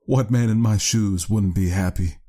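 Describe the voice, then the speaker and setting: Man acting out sullen weary young father figure, bitter sarcasm, quiet hollow delivery, audio storybook. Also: lowish voice, moderate pace, pitched above the performer's own voice, natural voice. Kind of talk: dramatised short story reading.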